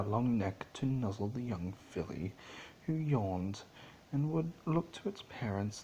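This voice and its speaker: Male amateur story narrator, lowish voice, theatrical and a little halting, faintly noisy home recording. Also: darkish tone. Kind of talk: dramatic storybook narration of fan fiction.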